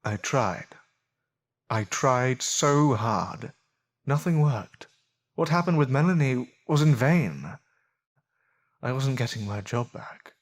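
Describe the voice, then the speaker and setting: A man playing a troubled, earnest gentleman in a period audio drama, speaking formally and hesitantly. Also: mid-range voice, expressive, takes it slowly, pauses often. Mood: remorseful. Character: troubled, earnest gentleman haunted by newfound powers. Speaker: voice actor and narrator. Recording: noisy amateur audio drama recording.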